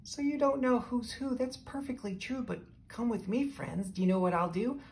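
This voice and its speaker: A woman voicing a slick, cheery male salesman creature from a children's storybook, with a clear, keen sales pitch. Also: normal speed, darkish tone, slight background noise. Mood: sly, coaxing. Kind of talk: children's storybook read-aloud.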